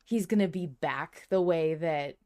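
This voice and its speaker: A woman's voice: deep voice, measured pace, warm tone. A woman co-hosting a fan podcast in casual chat, emphatic and playfully repetitive. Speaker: podcast co-host.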